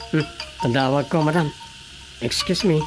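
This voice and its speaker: An actress playing a polite, watchful village councillor with a Filipino accent in a radio drama. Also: female voice, low voice, normal speed, music in the background, natural voice, poor audio.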